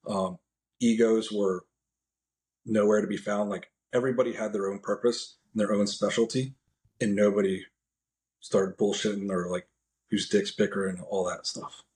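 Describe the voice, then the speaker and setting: An American man as a podcast guest, giving a casual, measured and somewhat halting first-hand account. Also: lowish voice, fairly even intonation, a little mellow. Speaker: podcast guest, National Guard air traffic controller.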